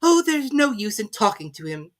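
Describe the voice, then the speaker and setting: A woman voicing a polite, curious, easily exasperated young girl in a storybook, earnest and expressive. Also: a little high, normal speed, pitched above the performer's own voice.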